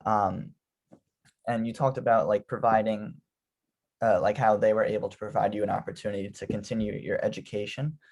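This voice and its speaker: A man's voice: fairly low pitch, little pitch movement, brisk, darkish tone. A young man interviewing a classmate, friendly and hesitant, with plenty of ums and likes. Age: young adult. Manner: friendly, hesitant, many fillers. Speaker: interviewer, graduate assistant. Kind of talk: casual interview.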